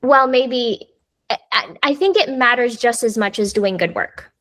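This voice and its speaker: A woman's voice: a little high, unhurried, a bit bright, dry recording. A woman, a podcast guest talking casually with lots of fillers. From United States. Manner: chatty, lots of fillers like "like" and "you know". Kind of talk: casual conversational interview.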